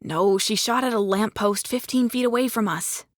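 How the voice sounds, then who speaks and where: A woman's voice: on the high side, normal speed, dry recording, studio quality, close to an everyday voice. A woman playing a stubborn, compassionate young woman thief in an audiobook drama. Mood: soothing, stubborn.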